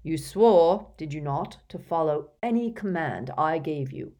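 Lady reader doing an old wizard guy for an audiobook, forceful and insistent, really dramatic. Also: low voice, animated, a little slow. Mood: stern, commanding. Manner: emphatic, insistent, moaning and groaning under torment. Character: elderly male wizard mentor, authoritative, breaking into frightened pleading.

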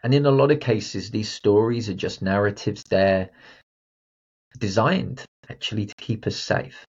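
A man's voice: lowish voice, measured pace, breathy edge, dull audio. A man hosting a motivational solo podcast, talking bluntly and directly to the listener. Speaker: podcast host and confidence coach.

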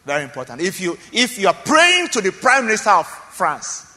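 A man's voice: high-pitched, huge pitch swings, a little fast, bright, forceful tone, long reverb tail. A man preaching a sermon as pastor in a church, emphatic, often repeating phrases and asking the congregation questions.

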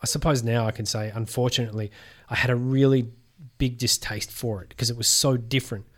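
A man hosts a comics podcast in a mid-pitched voice. He is relaxed and conversational, often hesitating and restarting his phrases. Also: brisk. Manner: hesitant, with frequent "uh" and restarts when he talks at length. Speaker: podcast host. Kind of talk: casual interview chat.

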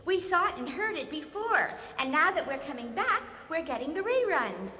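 A woman playing a chatty, excitable female alien with quirky, matter-of-fact delivery in a comedic radio drama. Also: very high-pitched, normal speed, heavily acted. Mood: breezy, matter-of-fact. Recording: reverberant, faintly noisy radio broadcast.

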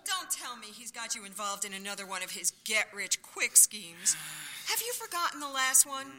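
A woman's voice: on the high side, very animated, moderate pace, noisy background. A woman playing an exasperated, skeptical wife in a comedic audio play, with pointed sardonic questions. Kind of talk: comedic audio theater acting.

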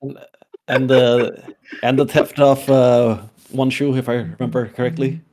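A man playing a weary, dry-humoured character in a tabletop game, speaking haltingly in a gravelly, husky voice. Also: medium pitch, little pitch movement, a little mellow, lightly acted.

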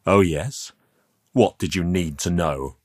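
Man voicing a cold, commanding, gravelly aristocrat. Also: deep voice, slow, a little mellow. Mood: crisp, mocking. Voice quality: gravelly edge. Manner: crisp, commanding, scornful. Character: cold, commanding, menacing aristocratic older man, crisply condescending.

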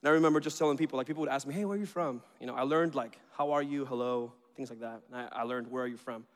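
Upbeat Venezuelan preacher in a hall. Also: male voice, medium pitch, rapid-fire. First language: Spanish. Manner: chatty and joking, bursts of excitement. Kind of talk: informal sermon introduction. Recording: reverberant hall.

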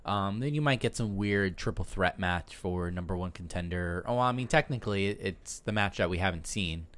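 Low-voiced joking podcast guy. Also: moderate pace, fairly bright tone. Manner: laughs often, loose and joking. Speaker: podcast co-host. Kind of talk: casual wrestling chat.

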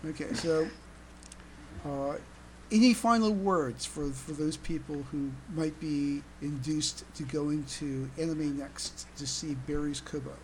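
A man hosting a casual fan podcast, speaking haltingly and often repeating his words. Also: on the high side, slight background noise, poor audio. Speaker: podcast host.

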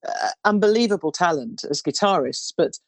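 Low-voiced woman in her sixties. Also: expressive, moderate pace, no room sound. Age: 60s. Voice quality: low baritone voice. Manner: rambling, warm storytelling with restarts. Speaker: singer. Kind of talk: relaxed interview chat.

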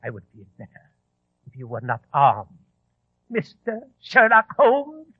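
A volatile, high-voiced plot leader. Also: male voice, wildly swooping pitch, unhurried, breathy edge, dry recording, heavily acted. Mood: coolly commanding. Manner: theatrical, taunting. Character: menacing, volatile male leader of a bomb plot. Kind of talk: old-time radio drama acting. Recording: muffled, tinny 1940s radio recording.

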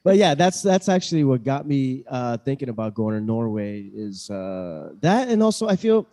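A man's voice: medium pitch, sing-song, normal speed, warm tone. A man hosting a podcast interview on a video call, talking casually with lots of "you know" fillers. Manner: chatty, many fillers. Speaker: podcast host.

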